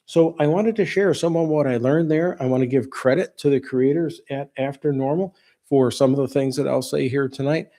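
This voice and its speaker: A man around sixty with a soft-edged, mellow voice talks casually as the host of a livestream. His delivery is relaxed and folksy, in plain words, and he drifts off into asides before returning to his point. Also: mid-range voice, normal speed.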